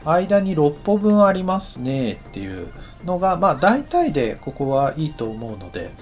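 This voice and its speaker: Man teaching casually, lively swinging intonation. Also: on the high side, normal speed, soft background music. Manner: conversational, counts aloud while working. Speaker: animation director teaching. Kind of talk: casual instructional lesson.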